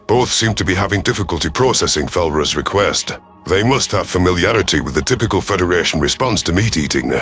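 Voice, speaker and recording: Male narrator, low-pitched, for dramatic first-person sci-fi storytelling over faint music. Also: fairly bright tone. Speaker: audiobook narrator.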